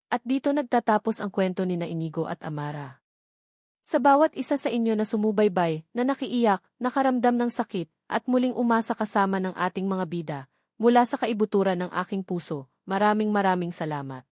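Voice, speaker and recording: A woman's voice: mid-range voice, animated, fairly quick, a little mellow, no echo, studio quality. A woman reading a scripted romance story as a dramatic narrator.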